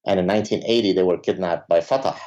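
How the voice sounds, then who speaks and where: A man from Lebanon, a native Arabic speaker, talking in a conversational interview, often pausing on fillers, then stressing points with emphasis. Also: sits low, darkish tone. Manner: hesitant with many fillers, emphatic. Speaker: podcast guest, professor. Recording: dull lo-fi sound.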